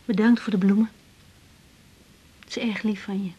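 An actress playing a gentle, concerned young doctor in a radio drama, earnest, soothing and a little hesitant. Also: female voice, mid-range voice, steady tone, normal speed, a little hiss or hum, natural voice. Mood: sincere. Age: young adult.

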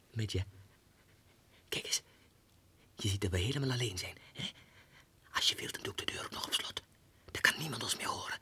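A lovesick, unhinged clerk, wildly swooping voice. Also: male voice, medium pitch, bright, forceful tone, full character voice. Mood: coaxing, secretive. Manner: theatrical, volatile, breaks into breathy whispers. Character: lovesick, deluded male clerk, sliding into madness. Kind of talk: 1960s radio drama monologue, diary read aloud. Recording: 1960s radio recording with music.

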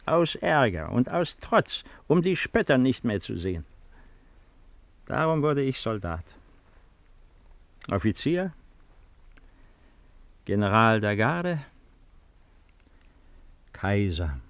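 A man's voice: on the low side, very animated, takes it slowly, pauses often, no room sound, full character voice. An older man playing a brooding, wistful old emperor in a 1950s radio drama, speaking in a reflective, musing way. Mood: wistful, bitter.

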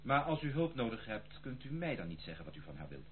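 An actor playing a wary, protective gentleman in a radio play, speaking with formal, guarded politeness. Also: on the low side, big pitch swings, fast talker. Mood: stern, protective. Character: wary, protective gentleman houseguest, stiffly courteous. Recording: tinny old radio recording, faint noise.

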